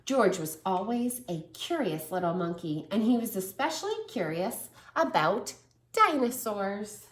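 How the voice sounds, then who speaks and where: A woman teacher reading a picture book aloud to a small child, lively and encouraging. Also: mid-range voice, measured pace, echoey room. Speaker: preschool teacher. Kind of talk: children's read-aloud lesson.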